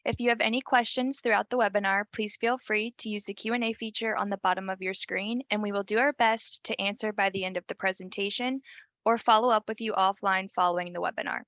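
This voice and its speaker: A woman moderating a webinar, reading housekeeping notes in a brisk, scripted way. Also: mid-range voice, steady tone, a bit bright, no echo.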